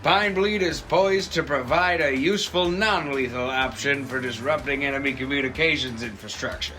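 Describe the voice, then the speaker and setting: An actor playing an earnest, patriotic defense contractor pitchman, narrating a scripted corporate promo. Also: normal speed, over music, natural voice. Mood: confident, salesy.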